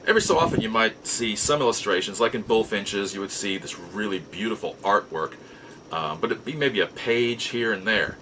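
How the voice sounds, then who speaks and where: A middle-aged American man telling nostalgic personal stories in a casual, halting, reflective way with drawn-out pauses. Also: on the low side, animated, background noise. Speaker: podcast host. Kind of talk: casual nostalgic storytelling monologue.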